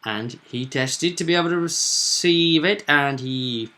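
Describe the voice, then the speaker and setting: A man's voice: on the high side, a little slow, sharp tone. A man chatting as a game master, recounting a tabletop roleplay session with emphatic, dramatic storytelling.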